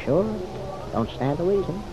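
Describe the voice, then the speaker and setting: A man playing a persistent, easygoing American police detective who needles in unhurried slang, in an old-time radio drama. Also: fairly high pitch, expressive, lightly acted. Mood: pressing. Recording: muffled, noisy vintage radio recording.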